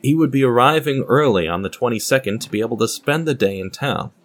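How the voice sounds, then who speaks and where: A man's voice: mid-range voice, animated, fast talker. A man narrating a scripted dramatic story, reading as a storyteller. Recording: music under the voice.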